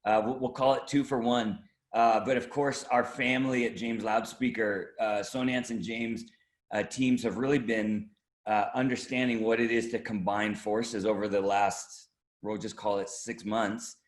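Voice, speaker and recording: Male interviewee, lowish pitch, marketing director, halting conversational delivery, strong room echo. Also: little pitch movement. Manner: halting, hedging, conversational explanation. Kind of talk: product preview interview.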